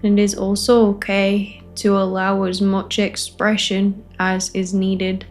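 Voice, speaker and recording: Woman, meditation facilitator, slow unhurried pace, even tone, gentle pause-filled phrasing, faint music behind. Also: mid-range voice. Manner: gentle invitations with long pauses between short phrases. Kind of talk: guided meditation, spoken softly to listeners.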